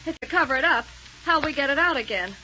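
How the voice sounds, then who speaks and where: High-voiced, tart space villainess. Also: female voice, lively intonation, quick pace, pushed and bright. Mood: wary, impressed. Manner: tart, sarcastic. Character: sharp-tongued, bossy female accomplice of a space villain. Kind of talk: 1930s radio serial acting. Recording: muffled, noisy 1930s radio recording.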